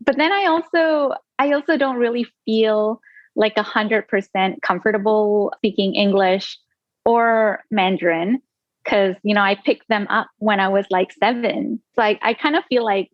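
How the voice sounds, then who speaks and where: A woman's voice: medium pitch, unhurried, dry recording, lo-fi sound. A young adult woman from Indonesia, an author talking casually in an interview, chatty with many fillers. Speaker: author guest.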